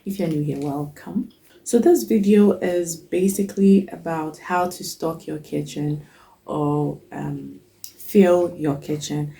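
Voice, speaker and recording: Woman with a cold, low gravelly voice. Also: mellow. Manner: chatty, list-like, frequent ums. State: has a cold. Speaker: cooking channel host. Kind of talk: casual talk to camera.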